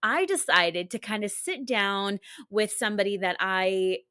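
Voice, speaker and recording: Lady hosting a podcast, chatty and informal, pretty dry sound, normal pitch. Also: expressive, a little slow, bright, forceful tone, smooth, dry recording. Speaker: podcast host. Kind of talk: casual podcast introduction and interview chat.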